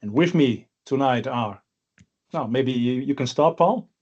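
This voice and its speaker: A man from the Netherlands hosts an online panel and asks plain, steady questions. His voice sits at a middle pitch. The audio is slightly muffled. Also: unhurried, breathy edge. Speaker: host and consultant.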